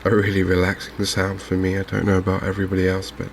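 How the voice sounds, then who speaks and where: Very low, softly spoken Englishman. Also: completely flat delivery, creaky, slight background noise. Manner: gentle, unhurried, hushed. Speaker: gaming video host. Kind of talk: softly spoken ASMR game commentary. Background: fishing game ambience.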